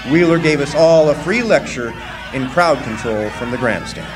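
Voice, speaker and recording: A man's voice: medium pitch, animated, moderate pace, music in the background, lightly acted. A man playing a plainspoken striking miner who narrates his own story in a radio drama. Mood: wry, matter-of-fact. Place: live stage performance in a hall. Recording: long hall reverb, faint noise.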